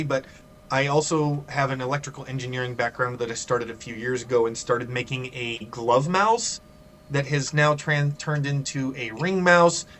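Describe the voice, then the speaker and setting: A man co-hosting a podcast over a video call, chatting casually and trading quick jokes. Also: on the high side, a bit bright, a little hiss or hum. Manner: joking, quick banter. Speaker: podcast co-host. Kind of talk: casual chat.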